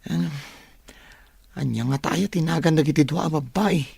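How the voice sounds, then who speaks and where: High, gravelly young man played by an actor. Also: moderate pace, a little mellow, no echo, heavily acted. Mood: eager, obliging. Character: eager, helpful young male student, loyal buddy. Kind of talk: Ilocano radio drama acting.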